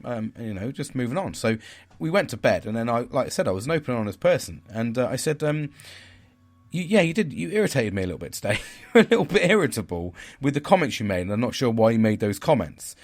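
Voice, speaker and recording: A man with a naturally deep, loud voice talks through his week as a podcast host. His delivery is rambling and frank, with the odd swear word. His pitch swings up and down lively as he goes. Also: fast talker. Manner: rambling, candid, swears casually. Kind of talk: casual reflective monologue.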